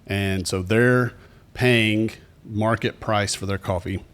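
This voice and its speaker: A man's voice: fairly low pitch, fairly even intonation, takes it slowly, a bit bright, clean tone. A man from Tennessee with a Southern US accent, speaking as a podcast host in a conversational, explanatory way to a live audience. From Tennessee, USA. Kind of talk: informal presentation to a live audience. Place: cafe, live event.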